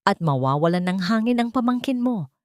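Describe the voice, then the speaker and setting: A woman's voice: medium pitch, animated, fairly quick, a little mellow, no echo, studio quality, voice pushed up, lightly acted. A woman voicing a threatening, commanding older matriarch villain in an audio drama. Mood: menacing, commanding.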